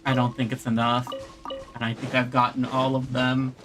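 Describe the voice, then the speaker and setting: Streamer thinking aloud over loud game music. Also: medium pitch, level tone, moderate pace. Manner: thinking aloud, muttering to self. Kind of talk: casual live gameplay commentary. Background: video game music.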